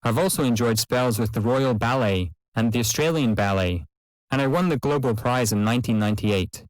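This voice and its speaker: A self-assured principal dancer from Italy, played by a man lists his achievements plainly. The voice has an average male pitch and a dry, close sound, made for a language test. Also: brisk, no echo, natural voice, rough recording. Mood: confident. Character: confident Italian male principal ballet dancer. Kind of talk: acted monologue for an English listening exam.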